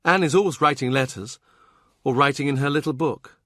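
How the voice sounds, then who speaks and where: A man's voice: medium pitch, brisk, bright, forceful tone, dry recording, clean studio sound, pitched above the performer's own voice. A man voicing a young widowed noblewoman in an audiobook, fretful, confiding and wistful. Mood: aggrieved, weary.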